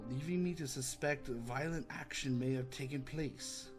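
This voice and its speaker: A man voicing a courteous, grave gentleman leading an inquiry in an animated fan drama, formal and measured. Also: medium pitch, over music, natural voice. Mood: solemn.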